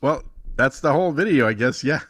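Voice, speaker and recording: A man from Texas chatting casually as a guest, explaining things hesitantly and thinking aloud with pauses. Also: mid-range voice, animated, a bit bright, no echo. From Texas, United States.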